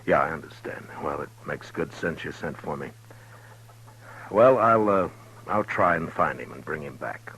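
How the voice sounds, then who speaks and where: An American man playing a calm, dry frontier marshal in a 1950s radio western, measured and understated. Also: rumbling low, slightly rough, exaggerated voice, poor audio. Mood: calm, firm. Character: calm, dry frontier marshal narrating his own story. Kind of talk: 1950s radio western acting, hard-boiled narration. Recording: 1950s radio drama with music behind.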